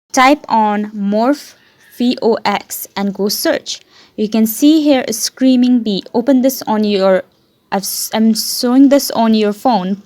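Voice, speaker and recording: A woman presenting a casual step-by-step software tutorial, with halting, repetitive phrasing and self-corrections. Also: fairly high pitch.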